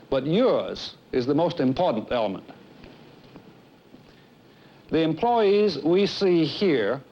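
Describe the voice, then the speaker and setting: Earnest american guy in his 50s, highish lively voice, formal exec speech to staff, old film sound. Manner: emphatic, earnest, deliberate. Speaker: airline executive presenting a staff training film. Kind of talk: formal scripted address. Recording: dull, noisy 1970s film soundtrack.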